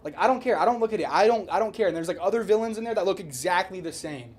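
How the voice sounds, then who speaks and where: A man's voice: sits high, expressive, racing, a little hiss or hum. A man co-hosting a podcast in casual, heated debate, opinionated and repetitive, with casual swearing. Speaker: podcast co-host.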